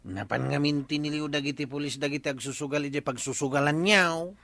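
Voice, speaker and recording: Bright, gossipy village man. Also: on the high side, a little fast, vocal fry, no room sound. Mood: matter-of-fact, teasing. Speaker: radio drama actor. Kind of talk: Ilocano radio comedy drama acting.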